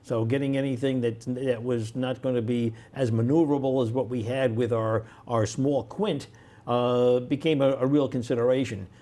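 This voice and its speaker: A man's voice: mid-range voice, steady tone, moderate pace, warm tone. A man speaking as a firefighter guest in an interview, explaining in a conversational way.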